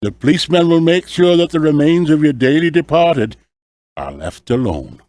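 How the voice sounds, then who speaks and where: A man's voice: on the high side, animated, moderate pace, a little mellow, no echo, natural voice. A man voicing an eager, obliging hotel landlord in audiobook dialogue, deferential and keen to please.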